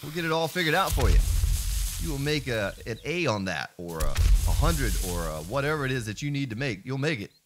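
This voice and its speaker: A man's voice: medium pitch, fairly quick, over music. A man streaming a survival game, chatting playfully with viewers and slipping into joking voices. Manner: playful, animated, drifts into mock voices and song snippets. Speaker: let's play streamer. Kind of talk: live gameplay commentary chatting with viewers.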